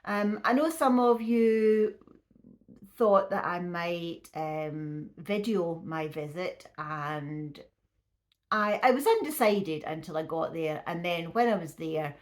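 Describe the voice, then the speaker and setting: A woman, chatting casually to camera as a vlogger, her voice a little croaky and her talk full of restarts and ums. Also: fairly low pitch, slow pace. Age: 50s. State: slightly croaky voice.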